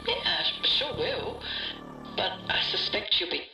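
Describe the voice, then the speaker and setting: A woman's voice: medium pitch, sing-song, measured pace, vocal fry. A woman playing an earnest, obsessive webmaster who talks emphatically and repeats herself on a voice call in a mystery podcast. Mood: haunted, insistent. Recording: echoey, distant call, music behind.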